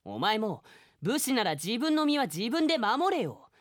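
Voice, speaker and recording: A man's voice: extremely high pitch, big pitch swings, a little slow, no room sound, studio quality, exaggerated voice. A man playing a serious, prickly young samurai in a comic radio drama, youthful and brusque, given to scolding retorts. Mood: exasperated. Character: young male wandering samurai, serious, prickly, easily riled.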